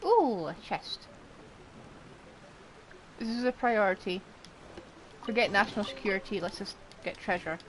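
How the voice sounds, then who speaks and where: A woman's voice: mid-range voice, measured pace, long pauses, a little hiss or hum, rough recording. A woman giving casual, playful gameplay commentary, muttering reactions to herself as she streams. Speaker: game streamer.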